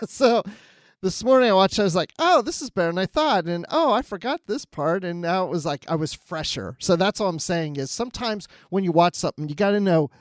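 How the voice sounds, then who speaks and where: A man's voice: high-pitched, lively intonation, a little fast, dry recording. A man co-hosting a podcast, talking casually and conversationally through a review. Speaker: podcast co-host.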